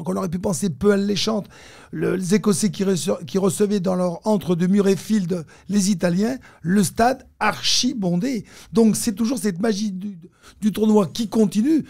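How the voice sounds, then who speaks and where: A man's voice: high voice, vocal fry, dark tone. A man discussing sport on the radio as a pundit, wordy and often rephrasing himself.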